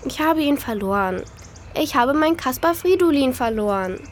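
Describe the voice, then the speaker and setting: A woman's voice: sits high, expressive, moderate pace. A woman playing a tender, earnest young girl in a children's audio drama. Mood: sad. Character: young girl, tender, attached to her puppet.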